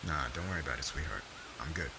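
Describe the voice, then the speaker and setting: A man's voice: rumbling low, fast talker, heavy background noise. A man playing a laid-back, devoted young warrior husband in an ASMR romance roleplay, talking in casual slang. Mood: hesitant, evasive. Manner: casual slangy talk, stammers when flustered.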